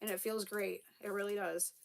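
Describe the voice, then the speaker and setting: A woman's voice: mid-range voice, level tone, normal speed, gravelly. A young woman with a slight lisp talks casually to her camera at home. She rambles through viewer comments with fillers, restarts and frequent laughter. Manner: chatty, laughs often, many fillers and restarts. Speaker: vlogger reading viewer comments.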